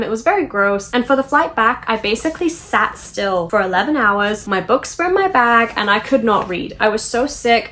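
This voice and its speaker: A woman's voice: a little high, fairly bright tone. A woman book vlogger chatting casually and informally to her viewers. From England.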